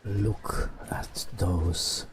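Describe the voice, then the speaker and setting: A man telling a bedtime story in an ASMR style, in a hushed breathy whisper with drawn-out phrases and long pauses. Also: level tone. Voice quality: breathy whisper with creaky low murmur. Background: video game ambience.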